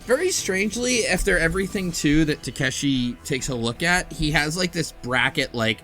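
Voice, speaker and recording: A man co-hosting a podcast, talking casually and haltingly as he works through his thoughts. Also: on the high side, lively intonation, bright, forceful tone, soft background music. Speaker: podcast co-host. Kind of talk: casual discussion.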